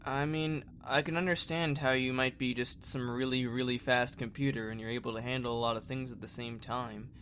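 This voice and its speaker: A man's voice: mid-range voice, steady tone, a little fast, few pauses, fairly bright tone, a little hiss or hum, natural voice. A man playing an earnest, curious young space trainee in a sci-fi fan parody, talking in a casual, hesitant way.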